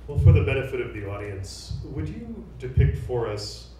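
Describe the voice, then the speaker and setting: A man's voice: medium pitch, big pitch swings, moderate pace, mellow, slight background noise. A middle-aged man hosting an interview, reading courteous, formal questions from cards. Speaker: interviewer and host. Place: large echoey room, seated on stage. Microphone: handheld mic. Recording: roomy hall sound, mic picked up from a distance.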